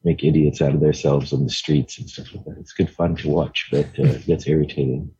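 A middle-aged man from Hong Kong, a guest telling his story in a relaxed, rambling way with many fillers. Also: a bass voice, a little fast, breathy edge, dull audio. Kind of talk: casual interview talk.

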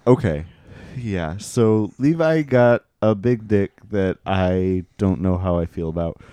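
A man's voice: sits low, takes it slowly, warm tone, clean tone, no echo. A man co-hosting a podcast, joking around in casual comedic banter, laughing often and talking over the others. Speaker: podcast co-host. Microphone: headphones on.

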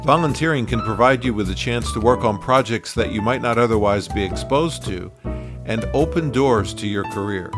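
Guy doing podcast host talk, interviews and intros, bit of music behind him. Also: mid-range voice.

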